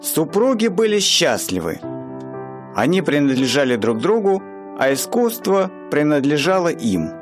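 A man narrating a radio play in a slow, wry storytelling manner. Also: sing-song, over music. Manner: slow, wry storyteller with wide swings in pitch.

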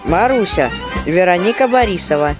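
Lively credits announcer over loud music. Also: medium pitch, moderate pace. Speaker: announcer reading cast credits. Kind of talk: scripted announcement. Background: loud instrumental music.

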